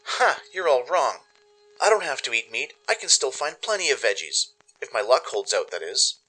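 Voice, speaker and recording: A man playing an anxious, tender-hearted young mare pony wandering a wasteland, in an expressive, introspective radio drama style. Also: medium pitch, normal speed, voice pushed up, poor audio. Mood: defiant, grumbling. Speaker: narrator and voice performer. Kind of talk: first-person dramatic audio story narration. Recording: music under the voice. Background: music.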